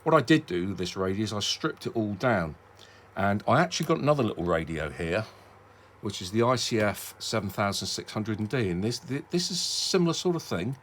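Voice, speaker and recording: A man's voice: fairly low pitch, very animated, fairly quick, slight background noise. A middle-aged British man giving a casual, unscripted hobby demonstration, rambling and hesitant as he interrupts himself. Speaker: hobbyist video presenter.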